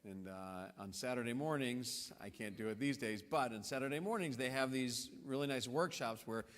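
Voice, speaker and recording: A man, a rabbi, explaining things to the congregation in a relaxed conversational way, with fillers and restarts. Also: mid-range voice, normal speed. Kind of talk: casual panel conversation. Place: large synagogue hall. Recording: long hall reverb.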